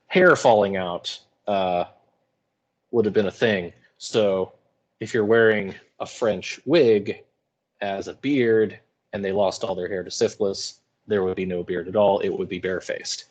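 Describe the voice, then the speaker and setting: A man teaching English in an informal video lecture, explaining with wry asides and some hesitation. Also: sits low. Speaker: high school English teacher.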